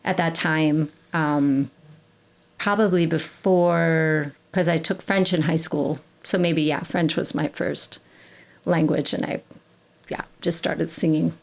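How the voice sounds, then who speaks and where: Brief: female classical singer, podcast guest; lowish, gravelly voice; chatty, rambling, self-correcting. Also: steady tone, slow pace. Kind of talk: casual conversational interview.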